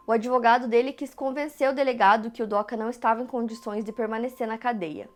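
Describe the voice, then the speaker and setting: A Brazilian woman steadily narrating a true crime case from a script. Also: on the high side. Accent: Brazilian Portuguese. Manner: steady, even retelling of events.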